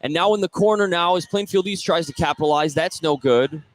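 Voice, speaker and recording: A man's voice: a little high, a little fast. A man doing live play-by-play commentary of a basketball game. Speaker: play-by-play announcer. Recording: live gym noise behind the voice. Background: gym ambience of a basketball game.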